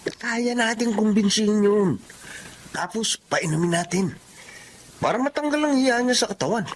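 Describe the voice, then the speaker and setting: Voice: medium pitch, normal speed, slight background noise, lightly acted. A performer playing a cocky, scheming teenage schoolboy with a teasing, smug manner in a Tagalog radio drama. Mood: mocking, scheming.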